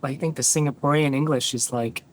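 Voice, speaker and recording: A host asking guests short, relaxed questions in a casual interview. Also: male voice, medium pitch, brisk.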